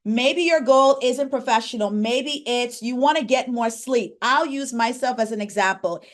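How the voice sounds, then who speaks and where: A woman's voice: fairly high pitch, normal speed. A woman from the Bahamas, a pharmacist and coach, leading an interactive online workshop. She asks the audience questions and reads out names from the chat.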